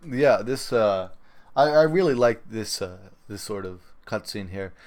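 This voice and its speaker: A man with a fairly low voice hosts a gaming podcast. He comments casually, wandering a little as he feels out his thoughts. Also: expressive, a little slow, darkish tone. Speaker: podcast host.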